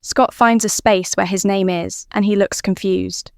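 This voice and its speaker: Female story narrator for fan fiction, clear and smooth, mid-pitched, dry close sound. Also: steady tone, a little slow, darkish tone, no room sound.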